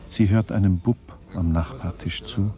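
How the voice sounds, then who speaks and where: An actor as a detached narrator, giving clipped, deadpan literary narration in a radio play. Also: male voice, low-pitched, normal speed, faint music behind, natural voice. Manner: deadpan, clipped, fragmentary phrasing. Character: detached male narrator minutely observing people.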